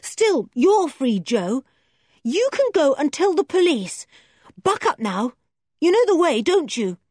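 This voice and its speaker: A woman voicing a sensible young boy who talks in a brisk, encouraging way, in a storybook reading. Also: high-pitched, sing-song, a little mellow, no room sound, studio quality, voice pushed up, heavily acted. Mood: urging. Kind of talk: storybook character voice.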